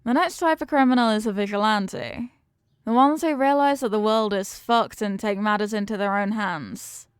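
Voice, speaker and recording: Woman acting as a smooth, doting female mafia boss. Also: medium pitch, normal speed, dry recording, studio quality, lightly acted. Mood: musing, assured. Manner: teasing, streetwise slangy talk with pet names. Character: confident, doting female mafia boss, tough but affectionate. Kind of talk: intimate ASMR-style roleplay acting.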